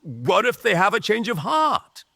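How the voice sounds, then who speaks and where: A man voicing a menacing, mocking rebel mage leader in an audio drama, with an oratorical, drawn-out, taunting delivery. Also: high-pitched, huge pitch swings, creaky, pushed and bright, dry recording, clean studio sound, pitched above the performer's own voice, exaggerated voice. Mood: rousing, scornful.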